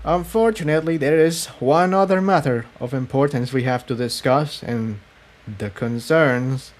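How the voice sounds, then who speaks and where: A man voicing a grave, weary female pony defector in an audiobook story, speaking in measured, regretful explanations. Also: medium pitch, natural voice. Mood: solemn.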